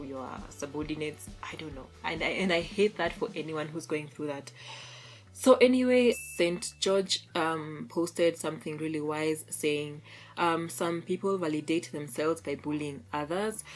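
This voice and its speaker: A young woman from Kenya talks through posts in a loose, chatty vlog, laughing easily and restarting her sentences as she hunts for words. Her voice sits low. A little music plays faintly in the background. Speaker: vlogger. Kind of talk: casual commentary reading tweets.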